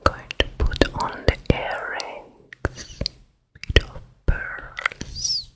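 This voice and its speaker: Casting: woman to play vain evil queen for storybook ASMR, whispered throughout, very drawn-out pace, soft and self-admiring. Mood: vain, soothing. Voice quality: breathy whisper. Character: vain wicked fairy-tale queen at her vanity. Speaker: ASMR performer. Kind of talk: ASMR roleplay.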